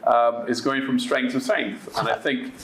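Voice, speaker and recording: A man's voice: medium pitch, fairly even intonation, measured pace, fairly bright tone. A man from a defence force medical team, explaining his unit's work earnestly and proudly as a podcast guest. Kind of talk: interview answers. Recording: roomy studio echo.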